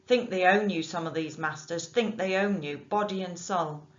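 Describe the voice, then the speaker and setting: A woman's voice: on the low side, moderate pace, lightly acted. A woman voicing a kindly, chatty man with a strange accent, in a dull lo-fi recording. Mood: friendly.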